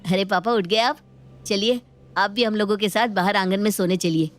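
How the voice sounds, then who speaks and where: A woman voicing a playful, outspoken young daughter in an animated moral story, with a chatty, teasing manner. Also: medium pitch, moderate pace, soft background music, lightly acted.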